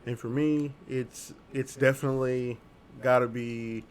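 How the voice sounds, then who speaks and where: A man's voice: medium pitch, measured pace, slight background noise. Rambling jokey male co-host. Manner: rambling, jokey, loud bursts when excited. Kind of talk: casual chat. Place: home streaming setup.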